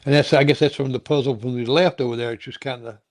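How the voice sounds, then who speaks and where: A man's voice: mid-range voice, steady tone, fast talker, dry recording. A male talk show host chats casually with a guest in a folksy, meandering style. He circles back and repeats phrases as he goes, in a dry, close-sounding recording. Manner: rambling, folksy, repeats himself. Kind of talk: casual interview chat.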